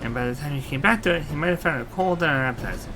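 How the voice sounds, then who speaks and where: A man's voice: on the high side, racing, noisy background, natural voice. A man voicing a bold, upbeat young woman warrior who talks eagerly, over game music. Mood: helpful. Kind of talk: fan voicing of game dialogue.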